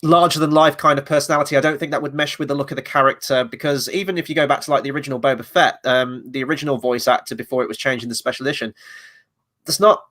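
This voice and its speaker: A man's voice: medium pitch, fairly even intonation, racing, a bit bright. A man hosting a casual review chat, a little stuffy from a cold, rambling through his opinions with fillers. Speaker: podcast host.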